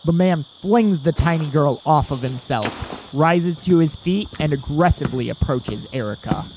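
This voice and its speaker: A man's voice: a little high, animated, normal speed, a little mellow, slight background noise, rough recording. A man narrating a scripted audio drama in the third person.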